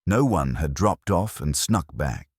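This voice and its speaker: A man reading scripted first-person detective story narration in a wry, measured manner. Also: a bass voice, big pitch swings, breathy edge, no room sound, clean studio sound. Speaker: audiobook narrator.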